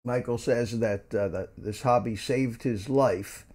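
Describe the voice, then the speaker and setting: A man's voice: mid-range voice, measured pace, a little mellow. A man in his seventies from Philadelphia, hosting a casual morning livestream, rambling with many ums and restarts as he reads out and answers viewer chat. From Philadelphia, USA. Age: 70s. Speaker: livestream host.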